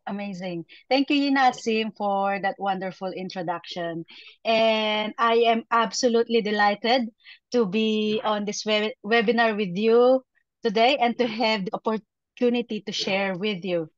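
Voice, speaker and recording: A Filipino woman coach delivers a motivational talk at a slow pace. Her phrasing is deliberate and emphatic, with repeated words. The audio is dry and somewhat dull, like a webinar call. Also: mid-range voice, dry recording. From Philippines. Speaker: performance coach, guest speaker. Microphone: video call.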